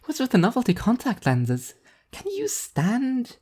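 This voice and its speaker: A woman voicing a caring, teasing young woman, wry and brisk, in a story reading. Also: on the high side, wildly swooping pitch, a little mellow, breathy edge, pitched above the performer's own voice, heavily acted. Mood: worried.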